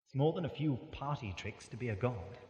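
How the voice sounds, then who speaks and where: An actor playing a grandiose, boastful self-styled god in an audio drama, with a husky, rough voice. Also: male voice, mid-range voice, expressive, brisk, a little mellow, reverberant, long reverb tail, close to an everyday voice.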